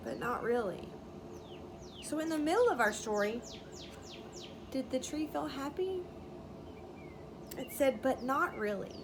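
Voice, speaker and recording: Slow, high-voiced woman reading to children. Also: noisy background. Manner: explanatory, asking simple questions. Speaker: storyteller, read-aloud teacher. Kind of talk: read-aloud for young children with questions.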